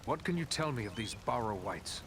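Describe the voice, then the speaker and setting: A man playing a steadfast, resolute ranger tracker in a fantasy video game, formal, earnest and deliberate. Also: mid-range voice, animated, fairly bright tone, a little hiss or hum. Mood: grim resolve.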